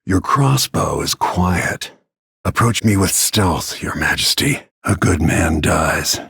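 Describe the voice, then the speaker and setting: A weathered male bodyguard turned assassin for a video game. His voice sits low and is full of gravel, with a heavily husky grain. He speaks tersely, with grim, brooding restraint. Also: expressive, takes it slowly, a bit bright, no echo, exaggerated voice. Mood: grim, controlled.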